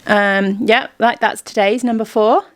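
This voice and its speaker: A woman's voice: mid-range voice, steady tone, measured pace. A woman hosts a casual family vlog. She talks in a chatty, informal way with a mid-range voice, explaining things as she goes. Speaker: vlog host.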